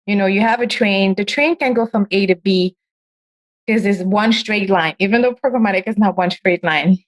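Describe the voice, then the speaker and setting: A woman hosting a podcast, chatting casually with a guest, animated and quick to laugh, often jumping up in pitch. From United States. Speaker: podcast host. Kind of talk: casual interview chat.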